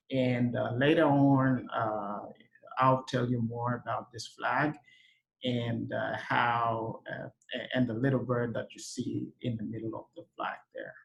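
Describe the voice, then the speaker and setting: A man from Uganda talks through a slide presentation at an unhurried, informal pace. His voice has a gravelly, fry-edged texture, and he stops often for "uh" and restarts his sentences as he goes. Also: medium pitch, fairly even intonation, lo-fi sound. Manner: halting, many "uh" fillers and restarts. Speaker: research engineer presenting his country.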